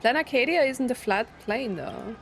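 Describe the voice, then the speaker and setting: A woman's voice: a little high, expressive, moderate pace, slight background noise. A woman doing casual gameplay commentary, reacting aloud in a giggly, self-deprecating way with exclamations. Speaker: game streamer. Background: game music.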